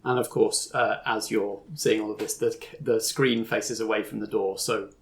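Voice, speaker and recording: A man's voice: fairly low pitch, steady tone, moderate pace, a little hiss or hum. A man acting as game master, narrating and explaining a tabletop game as he improvises it, thinking aloud.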